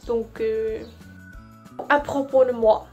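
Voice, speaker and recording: A woman's voice: fairly high pitch, steady tone, slow, darkish tone, soft background music. A young woman from Vietnam with a Vietnamese accent, talking to camera in a careful, hesitant way with frequent fillers. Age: young adult. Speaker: vlogger. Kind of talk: casual talk to camera.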